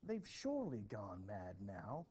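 A man voicing a distraught villager pleading urgently and loudly for his wife in a play reading. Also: lowish voice, wildly swooping pitch, unhurried. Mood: frantic. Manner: urgent, loud, exclaiming. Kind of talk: expressive classroom play reading. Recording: dull, noisy home recording.

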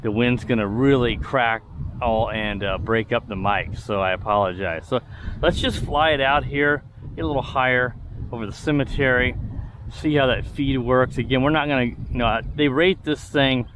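A man's voice: mid-range voice, moderate pace, poor audio. A man giving casual, rambling commentary while flying a drone outdoors, with a furry windscreen on his mic. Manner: chatty, rambling, many fillers and restarts. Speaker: drone reviewer. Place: outdoors in a windy field. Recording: loud wind noise in mic.

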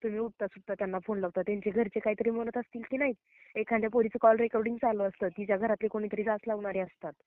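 A woman's voice: medium pitch, steady tone, rapid-fire, no echo. A woman with a Maharashtrian Marathi accent, scolding emphatically and indignantly in a heated phone argument. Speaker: prank call recipient. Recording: narrow tinny phone-call recording.

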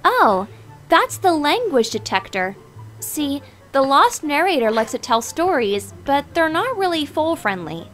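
A woman's voice: sits high, sing-song, measured pace, fairly bright tone, faint music behind, full character voice. A woman voicing a studious female cartoon pony who explains things primly in a comic dub. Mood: helpful, explaining.

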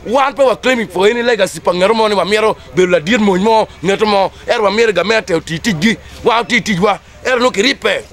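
Middle-aged Ugandan male, high-pitched, speaking publicly for his community group. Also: fast talker, hardly any pauses, a bit bright. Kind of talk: spoken public statement to camera. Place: outdoors under trees among a gathering.

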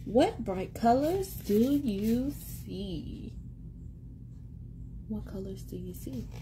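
A woman in her thirties reading a picture book aloud to young children in an expressive, sing-song, encouraging way, repeating words for them. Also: on the high side, very slow, noisy background. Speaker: children's read-aloud host and teacher. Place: small indoor room at home. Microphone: phone or tablet at arm's length.

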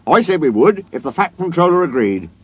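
A man playing an excited male train driver in a children's story, eager and emphatic. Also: fairly high pitch, fairly quick, breathy edge, no echo, voice pushed up.

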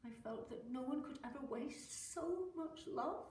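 An actress performing a theatrical monologue as an embittered woman who stabbed her husband, speaking with a low, intense, brooding delivery. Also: expressive, measured pace, a little mellow, far from the mic. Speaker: actor performing a stage monologue. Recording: echoey room, mic from afar.

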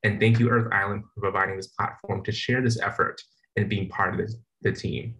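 A man's voice: fairly low pitch, steady tone, a little fast, sharp tone, rough recording. A young man from the San Francisco Bay Area giving an earnest, formal acceptance speech over a video call from a plain indoor room, with a few small restarts. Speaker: award recipient. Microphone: video call webcam.

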